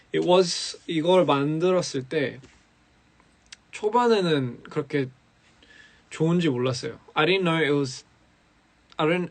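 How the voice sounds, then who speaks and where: A young man with a raspy, gravelly voice, worn hoarse from a recording session. He talks fast and loosely, rambling with plenty of fillers as he chats to viewers on a live stream. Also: fairly high pitch, fairly bright tone. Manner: rambling, switching between English and Korean, frequent fillers. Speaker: musician hosting a live stream. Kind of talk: casual live-stream chat.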